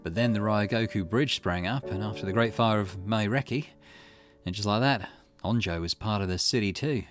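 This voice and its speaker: A man's voice: fairly low pitch, normal speed, faint music behind, close to an everyday voice. A man voicing a shrewd, confident male investigator in a visual novel, speaking in a conversational, explanatory way. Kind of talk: reading visual novel dialogue aloud.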